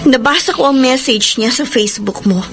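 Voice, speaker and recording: A high-pitched young Filipino girlfriend in a radio melodrama. She is loyal but wounded and suspicious, speaking with earnest emotion over prominent music. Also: brisk. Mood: suspicious, confronting. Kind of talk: Filipino radio drama acting. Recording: music bed under the voice.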